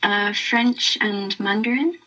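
A woman's voice: medium pitch, slow, pushed and bright, lo-fi sound. A young woman in her twenties answering interview questions in a friendly, casual way. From Britain, half Chilean. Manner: friendly, laughs lightly between answers. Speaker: interview guest.

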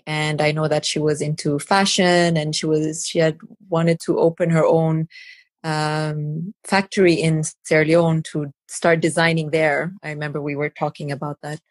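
A woman with a fairly low voice hosts a podcast interview. She speaks conversationally, prompting gently, and the sound is dry with little room in it. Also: no echo. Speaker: podcast host.

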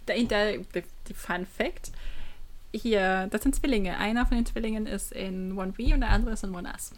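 A woman's voice: medium pitch, moderate pace, poor audio. A woman co-hosting a casual pop fan podcast, dropping English slang into her talk. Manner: peppers German with English slang. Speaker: podcast co-host. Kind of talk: casual fan chat about pop music.

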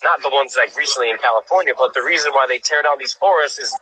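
A man's voice: mid-range voice, fast talker, sharp tone, slightly rough, no echo. A man chatting enthusiastically on a video call as an interview guest, talking with many restarts and fillers. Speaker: guest, cyclist. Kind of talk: casual video call chat. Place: cycling town, showing a cafe on camera.

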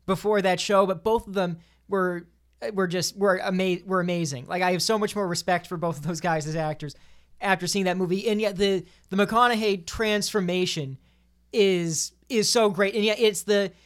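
A man's voice: high-pitched, moderate pace, clear, resonant tone. A man in casual podcast discussion, speaking enthusiastically and often stammering over and repeating his words.

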